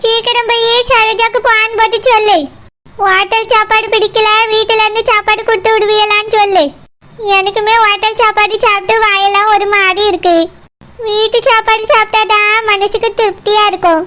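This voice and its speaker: A woman's voice: very high-pitched, flat delivery, fairly quick, pushed and bright, slight background noise. A woman voicing a scheming, threatening old villain woman in a cartoon, with a Kanyakumari Tamil accent. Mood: bossy. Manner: plotting aloud to herself, menacing. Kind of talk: cartoon voice acting.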